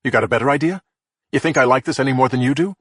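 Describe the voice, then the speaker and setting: A man's voice: mid-range voice, rapid-fire, fairly bright tone, no room sound, studio quality, pitched above the performer's own voice, close to an everyday voice. A man voicing a stressed middle-aged man who hisses threats and panics on the phone, in a profane, snarling way. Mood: panicked. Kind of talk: audiobook character voice.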